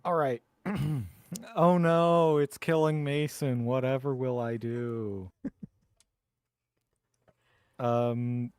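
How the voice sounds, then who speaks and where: A man with a clear, smooth voice chats casually as a player in a tabletop game. The sound is close and dry, with no room around him. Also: mid-range voice, steady tone, extremely slow, long pauses, darkish tone, no echo.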